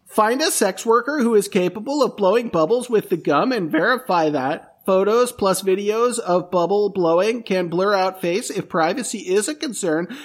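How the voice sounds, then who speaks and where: A man on a comedy podcast reading a forum post aloud in a flat, matter-of-fact way. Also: high-pitched, moderate pace, hardly any pauses.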